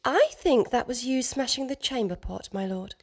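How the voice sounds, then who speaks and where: A woman's voice: a little high, lively intonation, moderate pace, background noise. An actress playing a nervous, naive young noblewoman in a period comedy radio play, speaking formally and hesitantly. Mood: timid.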